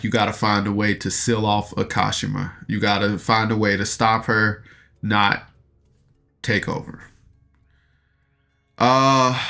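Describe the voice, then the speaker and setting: A man reviews a story in a casual, rambling podcast style. His voice sits a little low yet has a bright, forceful edge. Faint music plays underneath him. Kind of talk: casual recap and review of an audio drama.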